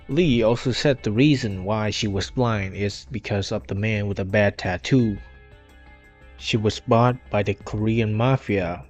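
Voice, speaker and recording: A man reading a scripted retrospective of a game story, in English with non-native grammar. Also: fairly low pitch. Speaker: video essay narrator. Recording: dull lo-fi mic, music behind.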